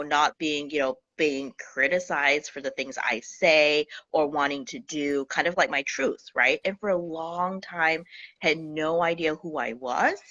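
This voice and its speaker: Woman, from Singapore, fairly low pitch, animated storytelling, interview guest, video call. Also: lo-fi sound. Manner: animated storytelling, acts out her own past thoughts. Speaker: guest, Akashic Records healer. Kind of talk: casual interview chat.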